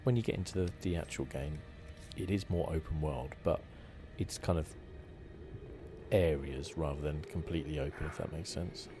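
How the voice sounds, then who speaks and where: A man hosts a gaming video in a relaxed ASMR style. His voice is low and soft-spoken, his phrasing informal, with music clearly playing behind him. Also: normal speed. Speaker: gaming channel host. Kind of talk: relaxed ASMR game commentary. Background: game music.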